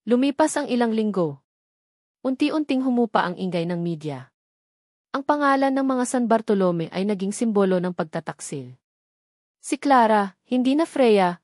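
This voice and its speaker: A woman reading a scripted dramatic story in a measured, solemn storytelling manner. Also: medium pitch, a little mellow, no room sound, studio quality. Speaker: story narrator.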